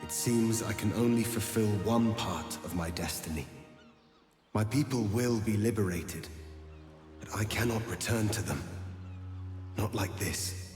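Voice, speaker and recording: A man voicing a regal, resolute alien prince in a video game, speaking gravely and formally. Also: fairly low pitch, measured pace, pauses often, natural voice. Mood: mournful. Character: solemn githyanki prince turned illithid, resolute and regal. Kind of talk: dramatic video game acting. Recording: echoey long reverb under loud music.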